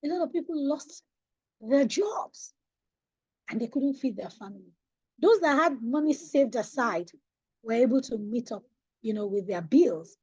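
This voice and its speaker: A Nigerian woman leads a finance webinar, stressing key words like a preacher and putting questions to her audience. Her voice sits high and a little husky. The sound is dry and slightly dull, like online webinar audio. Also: expressive, a little slow, long pauses, warm tone, dry recording. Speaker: financial coach. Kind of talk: webinar talk on personal finance.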